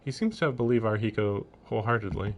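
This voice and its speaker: A man's voice: on the low side, fast talker, muffled recording. A man livestreaming a visual novel, reading the narration aloud and breaking off into casual, amused commentary.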